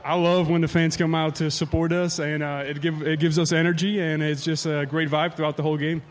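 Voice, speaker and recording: A young man whose first language is Czech, a pitcher answering postgame questions haltingly with many fillers at the ballpark. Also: flat delivery. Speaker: baseball pitcher. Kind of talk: postgame interview. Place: ballpark outdoors.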